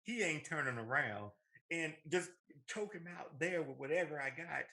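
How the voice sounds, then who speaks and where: Animated man with a bright, higher voice. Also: normal speed, vocal fry. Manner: animated, playfully argumentative. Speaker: podcast co-host. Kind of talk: casual banter debate.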